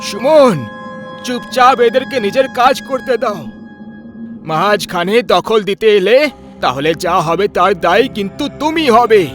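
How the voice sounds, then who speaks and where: A commanding old village grandfather acted by a man speaks in a strikingly high voice. He is stern and dramatic, a horror cartoon elder, with music clearly underneath. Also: animated, takes it slowly, fairly bright tone, heavily acted. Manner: authoritative, ordering, dramatic.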